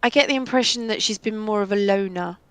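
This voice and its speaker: A woman with a husky voice, co-hosting a casual Q&A chat, rambling and laughing often. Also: medium pitch, fast, fairly bright tone, no room sound. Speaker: voice actress and co-host.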